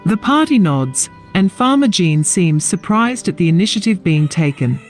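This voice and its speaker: High-pitched, expressive male narrator. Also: moderate pace, soft background music. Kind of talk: scripted narration of a fantasy audio drama.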